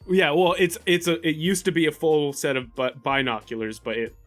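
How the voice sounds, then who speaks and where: A man's voice: on the high side, steady tone, moderate pace, soft background music. A man playing a tabletop game, casually explaining his character's actions in careful, descriptive detail. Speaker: player in a tabletop game podcast. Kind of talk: casual descriptive roleplay narration.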